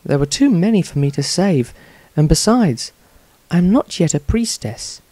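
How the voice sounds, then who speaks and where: An earnest young priestess acted by a man tells her fantasy story with prim indignation. The delivery is very lively, in a close, dry sound. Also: fairly high pitch, moderate pace, dry recording, voice pushed up. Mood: troubled. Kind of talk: dramatised diary reading.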